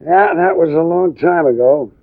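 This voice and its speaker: A man's voice: a little high, moderate pace, lightly acted. A man playing a friendly, slangy American former ballplayer in 1940s radio drama style, chummy and breezy. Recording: tinny vintage radio recording.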